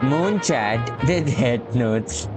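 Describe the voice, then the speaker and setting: A woman's voice: rumbling low, lively intonation, extremely slow, over music. A woman streamer casually reacting to a story game, reading lines aloud and chuckling. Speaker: gameplay streamer.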